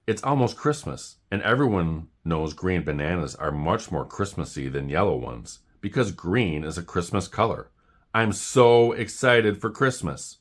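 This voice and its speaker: A man voicing an excitable, pushy female oxpecker bird from a children's storybook, chirpy and enthusiastic. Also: low voice, unhurried. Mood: excited.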